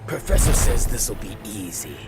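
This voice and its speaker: An actor playing a cocky young fighter with a brash, taunting manner. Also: male voice, on the low side, big pitch swings, moderate pace. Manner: brash, sneering. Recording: music behind the voice.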